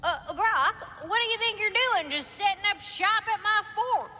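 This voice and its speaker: A woman's voice: extremely high pitch, animated, moderate pace, bright, forceful tone, exaggerated voice. An actress playing a brash, sassy female dwarf blacksmith in a video game, teasing in folksy slang. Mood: scolding. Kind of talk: cinematic video game acting.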